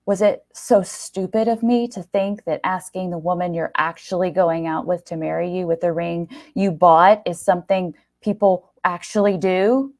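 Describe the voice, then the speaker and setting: A woman's voice: mid-range voice, darkish tone, lightly acted. A woman voicing a jilted boyfriend, sarcastic, accusing and indignant. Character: Gary, bitter jilted boyfriend, sneering and indignant.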